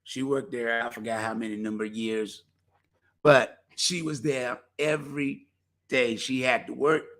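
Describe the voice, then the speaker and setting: A man's voice: medium pitch, a little slow. A man hosting a community talk show alone, talking in a folksy, preacherly way and losing his voice. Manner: folksy, preacherly, animated exhortation. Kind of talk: casual solo talk show promotion.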